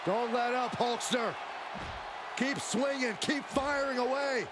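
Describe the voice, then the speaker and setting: A man giving excited, partisan color commentary on wrestling. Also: very high voice, slow pace. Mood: urging. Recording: noisy, loud arena crowd.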